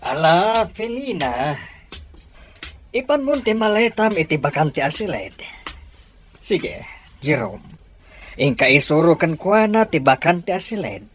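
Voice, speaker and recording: A woman playing an indulgent, gently authoritative old grandfather in an Ilocano audio drama, with a husky voice. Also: lowish voice, sing-song, unhurried, pauses often. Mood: indulgent, firm.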